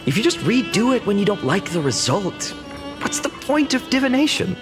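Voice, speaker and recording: A woman plays a playful young fantasy villager with boyish spirit. The delivery is casual and exclamatory, very animated at a middling pitch, with music clearly behind it. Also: normal speed, lightly acted. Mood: playful musing. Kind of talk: animated game dialogue.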